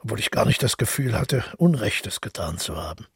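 An actor playing a weary, solitary murderer who calmly recalls his deed in a reflective radio drama monologue. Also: fairly low pitch, fairly quick, no room sound, clean studio sound, exaggerated voice. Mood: brooding, matter-of-fact. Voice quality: husky, gravelly.

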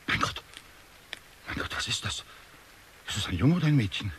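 A male actor plays a middle-aged father in a radio drama, bitter and quick to anger. His scolding, brooding delivery swings widely in pitch, over faint background noise. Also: very animated, close to an everyday voice. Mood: stunned. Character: agitated, bitter father of a grown son, easily enraged. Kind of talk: German radio drama acting.

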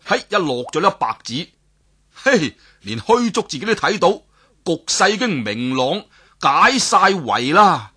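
A man with a Cantonese accent telling a martial-arts story in a lively, dramatic storyteller's manner, with sudden exclamations and voices for the characters. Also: on the high side, a little slow, husky.

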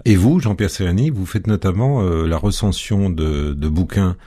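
A very low-pitched man, hosting a radio interview. His voice is dark and mellow with a husky edge, and his questions come out halting, full of pauses and restarts. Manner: halting, many hesitations. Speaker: radio host.

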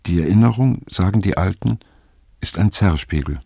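Deep husky older man, remembering. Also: expressive, normal speed, mellow, no echo, clean studio sound, exaggerated voice. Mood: pensive, reflective. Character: older man looking back, haunted, reflective narrator. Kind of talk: scripted radio drama narration, introspective monologue.

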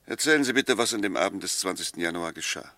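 An argumentative lawyer in an old 60s radio drama, emphatic, careful, fairly low voice, no room echo. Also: male voice, expressive, quick pace, bright, forceful tone, full character voice. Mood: probing, measured. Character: argumentative, methodical male defence advocate dissecting a murder case. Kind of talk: 1960s radio drama acting.

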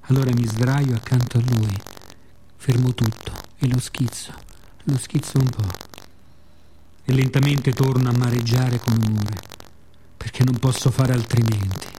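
A man playing the sea as a weary, bitter yet tender narrator in a theatrical radio monologue with deliberate repetitions. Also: mid-range voice, dark tone, lightly acted, low-quality recording. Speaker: actor performing own monologue. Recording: clear background noise and ambience.

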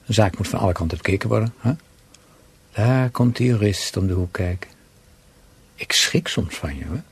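Casting: needling, amorous husband for radio drama, low and very husky, halting, teasing delivery. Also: male voice. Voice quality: husky, gravelly.

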